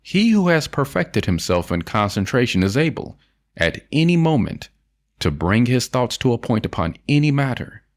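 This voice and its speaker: A man reading scripted prose as an audiobook narrator, in a formal, measured and emphatic manner. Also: medium pitch, very animated. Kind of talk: scripted audiobook narration of an old self-help essay.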